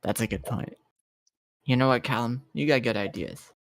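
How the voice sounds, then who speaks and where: A man's voice: medium pitch, moderate pace. A man with a mid-range voice banters through a tabletop roleplay. He is casual and slangy, often repeating phrases for emphasis.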